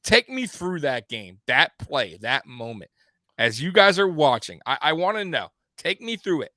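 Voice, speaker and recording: A man's voice: on the high side, very animated, normal speed, sharp tone, no echo, clean studio sound. A man in his forties from Miami hosting a casual sports talk show, rambling with many restarts. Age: 40s. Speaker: podcast host.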